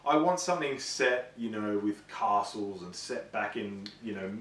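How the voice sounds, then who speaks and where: A man's voice: lowish voice, expressive, a little slow, a bit bright. A man co-hosting a gaming show in casual, informal chat, giving his opinions with enthusiasm. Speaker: co-host of a gaming video show. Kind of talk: casual chat about films and games. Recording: echoey room, distant mic.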